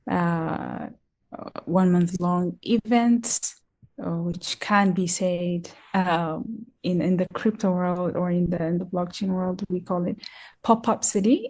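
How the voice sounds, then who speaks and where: A young woman from Ethiopia talks as a podcast guest, slowly and with frequent pauses and filler words. Her voice sits a little low and sounds mellow and soft. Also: gravelly. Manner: hesitant, many ums and restarts. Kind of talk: casual interview talk.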